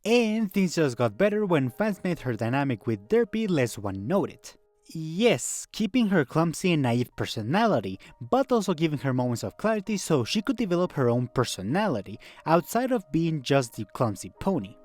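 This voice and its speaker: Higher-pitched man narrating with lively intonation. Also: moderate pace. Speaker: video essay narrator. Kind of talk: scripted narration. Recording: background music under voice.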